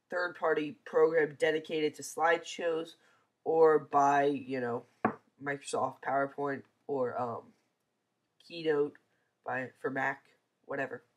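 A teenager with a stuffy, congested nose from a cold gives a casual, hesitant screencast review, full of ums, over a computer mic. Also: medium pitch. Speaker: software reviewer.

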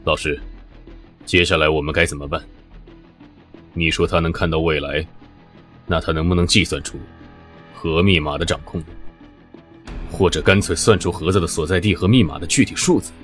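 Brief: keen young disciple in an audio drama, low voice, quick questions, music behind. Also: male voice, steady tone, fairly bright tone. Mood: eager. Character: loyal young male disciple, eager and quick.

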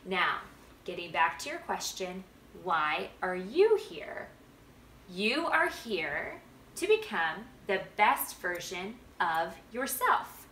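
Woman playing wise kindly grandpa, storybook, patient, encouraging, clear emphasis, bright, echoey room. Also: lowish voice, big pitch swings, slow, distant mic, heavily acted. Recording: roomy home recording with background noise.

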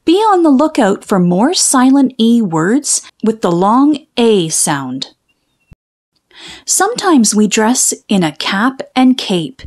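Slow, lively woman reading to children. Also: on the high side. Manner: careful, deliberate, stretching out key sounds. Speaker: read-aloud storyteller for children. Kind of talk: scripted children's story and phonics reading.

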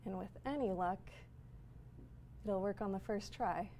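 A woman's voice: mid-range voice, unhurried, heavy background noise. Woman, 30s, speaker at a tech talk; plain mid voice, explanatory, lots of "um" and "uh", room mic. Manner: explanatory, with frequent fillers. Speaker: conference speaker. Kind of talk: technical talk. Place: lecture room with projector screen.

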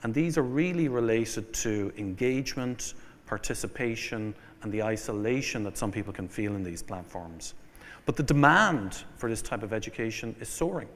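Irish man, keynote speaker, lowish voice, long hall reverb. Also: a bit bright. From Ireland. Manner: measured, listing points with fillers and restarts. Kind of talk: conference keynote. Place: conference hall.

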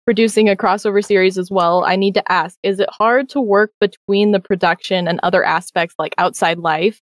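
A woman's voice: medium pitch, fairly even intonation, brisk, no room sound. A woman who works as a voice actor, talking casually on an online live panel. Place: online voice call.

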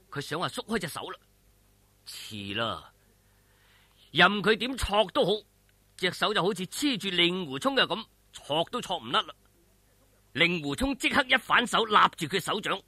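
A male Cantonese storyteller with a high, forceful and husky voice. He narrates a martial arts tale in colloquial, suspenseful style, with pitch that swings widely. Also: dry recording. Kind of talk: dramatic oral storytelling of a martial arts novel.

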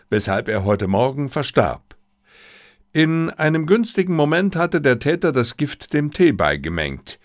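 A confident male detective played by a male narrator holds forth in an audiobook. His delivery is deliberate, courteous and showmanlike, with lively swings in pitch. The sound is dry and close. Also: dry recording. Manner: deliberate, emphatic, polite, showmanlike. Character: confident, theatrical male detective addressing suspects.

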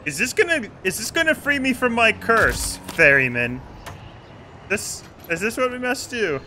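A man's voice: very high-pitched, sing-song, normal speed, bright, forceful tone. A man in casual multiplayer game chat, playfully and theatrically role-playing as a pirate captain. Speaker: gaming streamer playing the ship's captain. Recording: game sounds and noise behind voice. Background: video game sounds.

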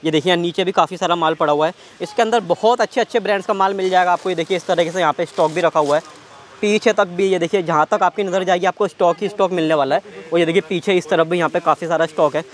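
A man's voice: high voice, fast talker. A man hosting a promotional shop walkthrough, eagerly confirming what the seller says. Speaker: vlog host interviewing a seller. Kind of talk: fast promotional product walkthrough chat. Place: clothing warehouse stockroom.

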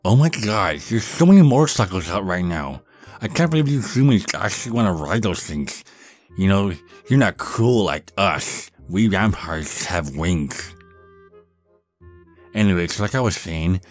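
A man's voice: lowish voice, a little mellow. Nerdy young vampire played by a man: whiny, pedantic know-it-all, talking down to everyone in a goofy roleplay. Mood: smug, pedantic. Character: nerdy, dorky young male vampire, petulant Star Wars know-it-all. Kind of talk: goofy character roleplay monologue.

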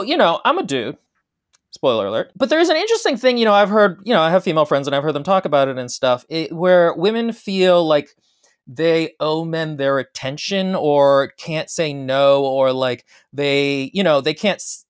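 A man's voice: on the high side, expressive, moderate pace, clean tone. Man in his thirties, very varied intonation. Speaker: podcast co-host. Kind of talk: casual book-discussion podcast, offering analysis. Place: room at home, video call.

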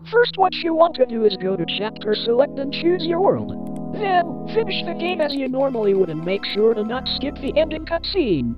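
Host tutorial read by female synthetic voice; lively pitch, lo-fi audio. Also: moderate pace, over music. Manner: upbeat text-to-speech instructions. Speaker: channel host. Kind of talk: game tutorial walkthrough.

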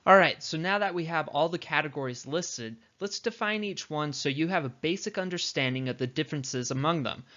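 A man with a somewhat high-pitched voice narrates a scripted training video. He explains step by step like an instructor, conversationally posing questions and answering them. The voice-over sounds slightly dull and lo-fi. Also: brisk, few pauses, pushed and bright.

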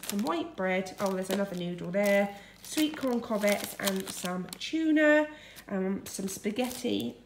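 Woman, 30s, chatty grocery haul vlogger, gravelly voice, echoey kitchen. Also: medium pitch. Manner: chatty, listing items one after another. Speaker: family vlogger. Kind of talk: casual grocery haul vlog. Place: home kitchen, indoors. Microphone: phone camera at arm's length. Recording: echoey kitchen with faint noise.

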